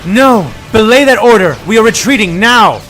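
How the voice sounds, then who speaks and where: A man voicing a female general who shouts sharp, urgent orders. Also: high-pitched, lively intonation, moderate pace, faint music behind, voice pushed up. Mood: commanding.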